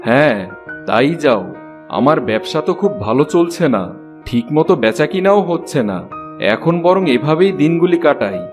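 A man's voice: fairly high pitch, lively intonation, moderate pace, over music, natural voice. A man voicing a greedy, scheming village merchant in a fairy-tale cartoon, grumbling and impatient.

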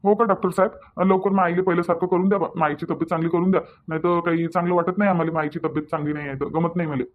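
Man playing a fretful, high-voiced village son. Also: steady tone, rapid-fire, narrow, tinny audio, lightly acted. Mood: worried. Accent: Varhadi, Vidarbha Marathi. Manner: exasperated, folksy, fretful. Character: exasperated, worried grown son of stubborn old mother. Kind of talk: cartoon dialogue.

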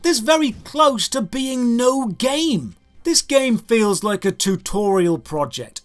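A man in his thirties narrating a scripted game review as host, with an emphatic, exasperated, rhetorical delivery. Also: sits high. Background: background music.

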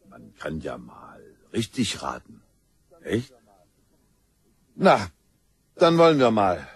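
A man's voice: lowish voice, huge pitch swings, slow, husky, full character voice. A man playing a probing, matter-of-fact police investigator in a crime radio play, asking terse questions. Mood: probing, composed. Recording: faint vehicle noise behind.

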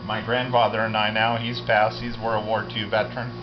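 A man's voice: on the low side, flat delivery, normal speed, music in the background. American man in his fifties, dull lo-fi audio. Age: 50s. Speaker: vlogger, farm resident. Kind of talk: casual handheld walk-through commentary. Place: indoors, cluttered concrete basement. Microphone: handheld camera at arm's length. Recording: dull lo-fi camera audio, loud background sound. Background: loud continuous radio playing.

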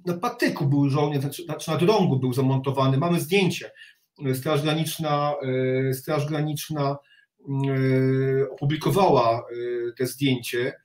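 A middle-aged man giving blunt, halting commentary as an interview guest on a video call from a home study. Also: medium pitch, steady tone, a little mellow. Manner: halting, with repeated words and blunt criticism. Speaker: interview guest, reserve army officer. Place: home study with bookshelves, indoors. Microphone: video call, sitting back from the webcam. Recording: roomy, echoing video call.

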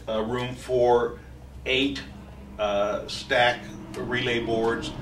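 A man in his sixties giving a technical product demonstration as a trainer, speaking haltingly with many pauses, recorded from a distance in an office meeting room. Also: mid-range voice. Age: 60s. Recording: distant mic, echoey room, faint noise.

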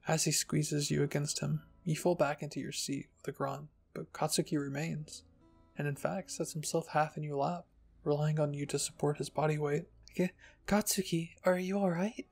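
A man with a slightly high, creaky voice reads a story in a soft ASMR style. His delivery is even and husky, with light music behind him. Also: moderate pace. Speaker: narrator and channel host. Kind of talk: ASMR fan fiction reading.

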